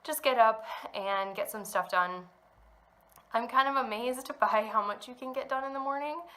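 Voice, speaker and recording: A young woman with a bright, clear-toned voice talks casually to her audience, wandering from thought to thought with frequent ums. She sounds slightly stuffed up, still getting over a cold. She is at home. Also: on the high side, normal speed, low-quality recording. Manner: rambling, chatty, many ums. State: congested from a cold. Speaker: knitting podcast host. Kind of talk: casual chat to camera. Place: at home indoors.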